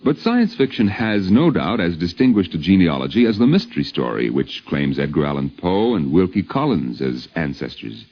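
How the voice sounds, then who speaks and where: A man with a low, widely swinging voice delivers formal, theatrical documentary narration. The sound is a dull 1950s radio recording. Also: very animated, moderate pace, hardly any pauses, a little hiss or hum.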